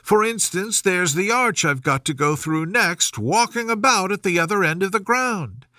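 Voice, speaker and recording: A polite, chatty young storybook girl, played by a man, talks in a high, lilting voice. She sounds earnest and proper, with lively swings in pitch. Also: normal speed, dry recording, studio quality. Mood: flustered, complaining. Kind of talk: storybook character voice.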